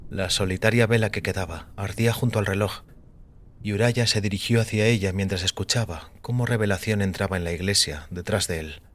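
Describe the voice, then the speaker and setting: A man's voice: on the low side, brisk. A man reading scripted dramatic fiction as the narrator of an audio story. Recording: music under the voice. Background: background music.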